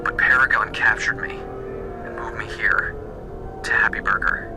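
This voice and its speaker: A weary male captive in a game cutscene, played by an actor, confiding haltingly as he quietly plans escape. His voice is mid-pitched and stammers in places, with music clearly underneath. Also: steady tone, lightly acted, rough recording. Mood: haunted, determined.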